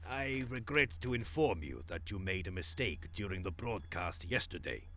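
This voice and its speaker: A man's voice: low-pitched, lively intonation, moderate pace, bright, forceful tone, faint music behind, heavily acted. An actor playing a formal, exacting male broadcast official in an audio drama, speaking in a stiff, precise, officious manner. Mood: cold, officious.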